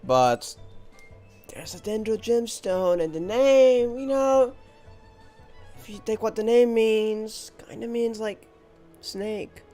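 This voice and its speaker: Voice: mid-range voice, lively intonation, slow pace, long pauses, warm tone. A game streamer giving casual reaction commentary over gameplay, with game music behind. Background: faint game music.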